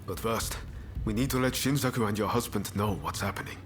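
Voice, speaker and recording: An actor playing an earnest, take-charge rebel comrade in samurai-era Japan for a video game, speaking in a brisk, friendly way. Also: male voice, on the low side, faint music behind, close to an everyday voice. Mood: serious, urgent. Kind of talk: dramatic video game voice acting.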